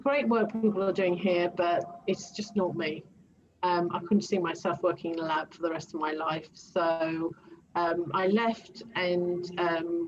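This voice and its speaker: A woman's voice: lowish voice, muffled recording, slight background noise. A Greek-born woman, a native Greek speaker, answering interview questions as a guest coach, with many ums and restarts. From Greece. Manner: hesitant, many ums and restarts, light laughs.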